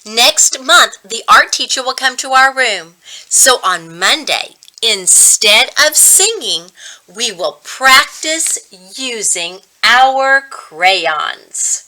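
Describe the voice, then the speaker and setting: A woman's voice: a little high, expressive, drawn-out words, bright, forceful tone, voice pushed up, full character voice, low-quality recording. A woman reading a storybook as a strict, bossy first grade teacher, firmly instructing her class. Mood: announcing.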